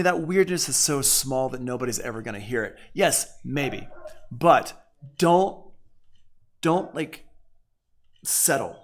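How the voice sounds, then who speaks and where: A man giving a casual live audio software tutorial as an instructor, explaining in a conversational way and repeating points for emphasis. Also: on the high side. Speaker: music production instructor.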